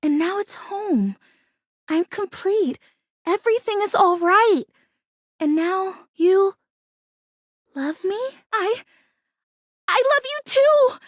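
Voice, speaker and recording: Quirky female plastic plant game character, very high voice, gushing, perky, sincere, close dry sound. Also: animated, slow, pauses often, dry recording, full character voice. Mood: overjoyed. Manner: bubbly, gushing, earnest, quirky. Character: kooky, perky, earnest female plastic houseplant come to life. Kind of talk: video game character voice acting.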